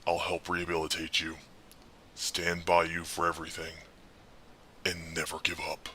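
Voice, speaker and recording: An actor playing a grim, desperate, world-weary masked vigilante in an audio drama, with a gravelly, intense delivery. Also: male voice, completely flat delivery, pushed and bright, slight background noise. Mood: pleading, resolute. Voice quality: gravelly, husky growl. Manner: intense, deliberate, low and pleading. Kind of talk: fan audio drama acting.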